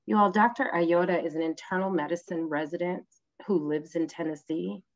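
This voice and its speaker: Female virtual-event moderator, lowish pitch, courteous and halting, muffled call audio. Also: fairly even intonation. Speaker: host, moderator. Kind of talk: event hosting, introductions. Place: online video conference. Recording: dull video-call audio.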